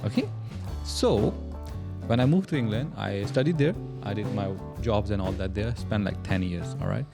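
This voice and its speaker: A man's voice: lowish voice, normal speed, vocal fry, over music. A man from Pakistan hosting a casual podcast chat, joking and laughing often as he talks in loose, run-on sentences. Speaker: podcast host.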